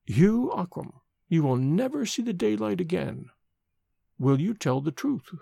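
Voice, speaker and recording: A frail, ancient nursemaid, put on by a male storyteller, speaks in a thin, cracked, quavering voice. Her words come slowly and severely, rising and falling widely in pitch. The sound is close and dry. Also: big pitch swings, vocal fry, warm tone, husky, dry recording, exaggerated voice. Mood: grave, pleading. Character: ancient frail old nursemaid woman, stern and sharp.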